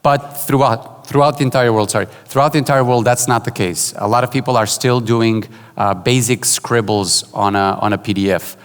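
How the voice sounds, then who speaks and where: A man from Portugal giving a conference keynote, relaxed and joking, with many fillers and restarts. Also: mid-range voice, normal speed, clear, resonant tone. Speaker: keynote speaker. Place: large conference hall. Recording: hall reverb.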